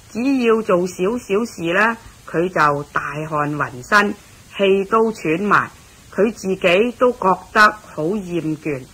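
A woman with a Hong Kong Cantonese accent introduces a radio story, explaining it in a measured, deliberate way. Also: fairly low pitch, sharp tone, slightly rough, rough recording. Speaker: narrator and host of a radio story series.

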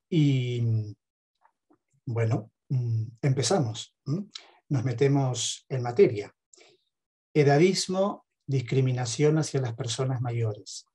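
A middle-aged man with an Argentine accent giving a reflective lecture, measured, with hesitant pauses between phrases. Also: medium pitch, dark tone, breathy edge. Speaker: speaker, theologian and counsellor. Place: home study with bookshelves. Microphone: headset on a video call.

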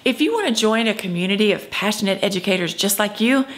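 A woman's voice: medium pitch, lively intonation, quick pace, low-quality recording. A woman in her fifties presenting a scripted instructional video as a drama teacher, speaking emphatically to camera. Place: indoor room at home.

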